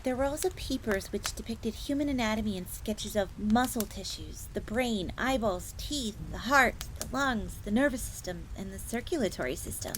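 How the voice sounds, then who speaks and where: A chatty, deferential fox maid, acted by a woman for a fantasy audio roleplay. Her voice sits fairly high and she explains things informally, calling herself by name. A clear crackling fire noise runs behind her. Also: normal speed, few pauses, close to an everyday voice. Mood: chatty, explanatory. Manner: refers to self in third person, informal, explanatory.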